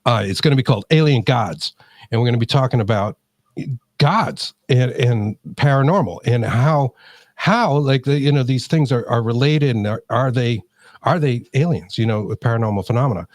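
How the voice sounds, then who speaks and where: A man's voice: mid-range voice, moderate pace, no echo, clean studio sound. A man with a New England accent chatting casually as a podcast co-host, in an informal, slangy, rambling way. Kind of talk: casual chat.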